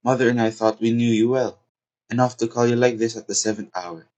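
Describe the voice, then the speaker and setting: A man's voice: medium pitch, steady tone, a little fast, darkish tone, highs cut off, lightly acted. A man playing the anxious, well-meaning daughter of a society hostess in a stage comedy, earnest and pleading. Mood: polite, eager. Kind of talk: theatrical stage comedy acting.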